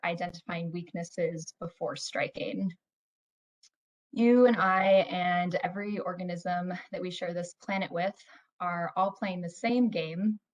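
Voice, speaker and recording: A woman in her twenties, a doctoral student, giving a prepared, measured storytelling talk with a dramatic build. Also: lowish voice, poor audio.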